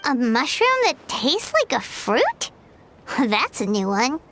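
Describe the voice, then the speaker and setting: A woman voicing a tiny, excitable, childlike companion creature in a video game, cutesy and exclamatory. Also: sits high, slow pace, noisy background, exaggerated voice. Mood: excited, curious. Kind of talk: video game character voice acting.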